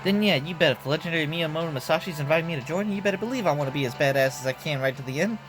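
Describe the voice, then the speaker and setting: A man's voice: a little high, very fast, lightly acted. A man voicing a brash young female painter and swordfighter in game dialogue, with blunt, slangy, emotional tough talk. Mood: eager, fired up. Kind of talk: game dialogue read aloud. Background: background music.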